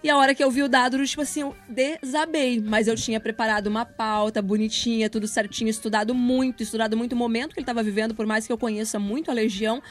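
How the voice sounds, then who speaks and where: A woman's voice: a little high, steady tone, fast talker, hardly any pauses, soft background music. A woman in her thirties with a Brazilian accent, talking casually as an interview guest and explaining things in a chatty way with examples. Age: 30s. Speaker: radio professional, interview guest.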